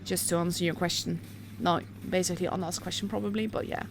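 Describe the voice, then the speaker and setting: A woman's voice: on the low side, steady tone, fast talker, gravelly, noisy background. A woman with a Dutch accent giving casual, chatty live commentary on a game as a stream host. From Netherlands. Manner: chatty, informal, reacting as play unfolds.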